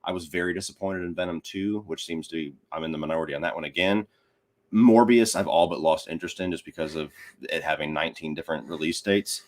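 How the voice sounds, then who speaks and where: A man speaking as a podcast guest, giving his opinions on films in a casual, conversational and measured way. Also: deep voice, steady tone.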